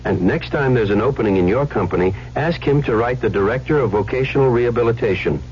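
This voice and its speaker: A man's voice: medium pitch, quick pace, a little mellow. A man reading a scripted, persuasive public service announcement for radio. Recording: dull, faintly noisy old radio recording.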